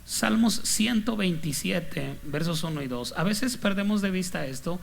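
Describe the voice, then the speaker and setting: A man's voice: sits high, animated, a little slow, vocal fry, slight background noise. A man with a northern Mexican accent preaching as a pastor in a conversational, anecdotal style with punchy, clipped delivery.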